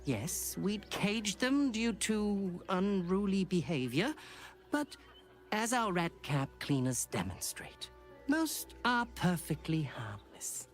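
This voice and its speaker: An actress playing a formal, reassuring announcer in a video game. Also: female voice, mid-range voice, very animated, slow, soft background music. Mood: dismissive.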